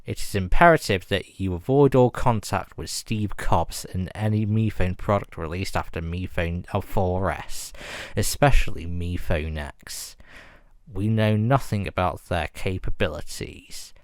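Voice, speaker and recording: Low-voiced man reading a grave emergency announcement. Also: unhurried, no echo, clean studio sound. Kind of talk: scripted fictional emergency broadcast announcement.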